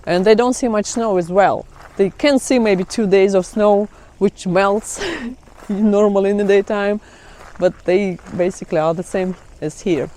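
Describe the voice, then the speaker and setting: A woman's voice: mid-range voice, a little slow, mellow. Chatty woman with a Russian accent. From Kazakhstan. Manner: chatty, laughs often, non-native phrasing. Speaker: vlogger. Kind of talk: casual vlog chat. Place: outdoors by trees in melting ice.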